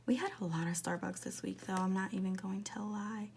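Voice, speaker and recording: A woman in her twenties chatting casually in a rambling, confiding way, laughing often and slipping into soft whispers as she talks through decorating her planner. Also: medium pitch, steady tone, fairly quick, creaky, a little hiss or hum. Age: 20s. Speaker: planner vlogger.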